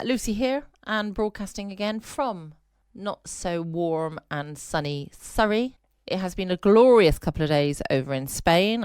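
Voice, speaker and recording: An English woman hosts a casual bedtime podcast. Her voice is low and smooth, recorded dry, and she rambles in a self-mocking way. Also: no room sound. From England. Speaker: podcast host. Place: quiet room at home.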